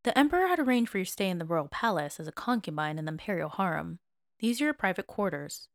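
A woman voicing a courteous, composed elderly male palace official in an audio story, formal and unhurried. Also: lowish voice, very animated, dry recording, clean studio sound. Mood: polite, matter-of-fact. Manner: formal, slow, polite.

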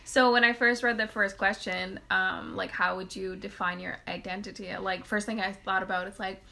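A young woman from Canada, born in Quebec with French as her first language, chatting casually as an interview guest, animated, with many "like" fillers. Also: medium pitch, normal speed, gravelly. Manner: animated storyteller, many "like" fillers, laughs often. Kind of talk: casual conversation.

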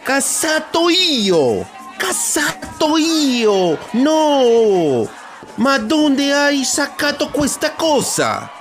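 Slow, swooping Italian seducer. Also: male voice, very high-pitched, wildly swooping pitch, fairly bright tone, soft background music, full character voice. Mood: wheedling, romantic. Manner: wheedling, mixes Italian with Spanish. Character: smooth-talking, sly Italian immigrant seducer. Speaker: radio drama actor. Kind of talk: radionovela acting.

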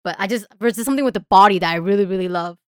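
A woman's voice: mid-range voice, racing, clear, resonant tone, no room sound, clean studio sound. A woman talking casually as a podcast guest, informal, giggly and a little self-deprecating. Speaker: podcast guest and group member. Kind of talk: casual chat.

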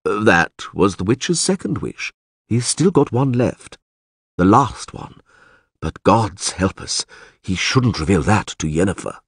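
A man voicing a grave, knowing male character in an audiobook, who explains and warns in a measured, ominous way. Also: on the low side, big pitch swings, husky, no echo, studio quality, exaggerated voice.

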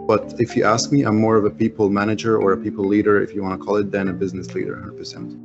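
A man's voice: on the low side, little pitch movement, fast talker, creaky, muffled recording, over music. A man from Egypt, a French speaker since school, talking earnestly as an interview guest, with many restarts. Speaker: interview guest, business owner. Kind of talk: casual interview talk.

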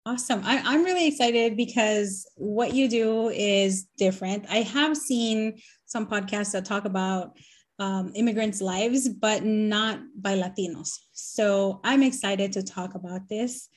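A woman's voice: medium pitch, unhurried. A woman from Nicaragua hosting a podcast interview, upbeat and chatty. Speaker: podcast host. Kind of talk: casual interview chat.

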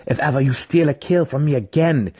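A man voicing an intense, defiant young male dark elf warrior in a dramatic fantasy audiobook. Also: a little high, fairly quick, breathy edge, pitched above the performer's own voice. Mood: menacing. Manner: intense, growling threats.

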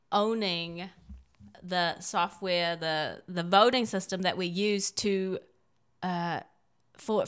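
A woman's voice: on the low side, fairly bright tone, clear, resonant tone. Woman giving emphatic political commentary. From born in China. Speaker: talk show co-host.

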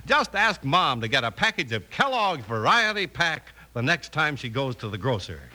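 High, lively American radio announcer man. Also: moderate pace, heavy background noise. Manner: polished, upbeat salesmanship. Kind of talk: 1950s radio announcing, scripted sponsor pitch. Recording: old radio broadcast recording. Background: music.

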